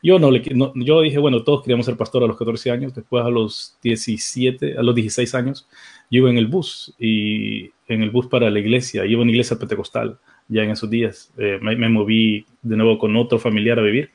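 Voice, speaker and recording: A man's voice: lowish voice, gravelly, a little mellow. A middle-aged man from El Salvador, introducing himself on an online panel in a rambling way, with many restarts. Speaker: guest panelist, church teacher.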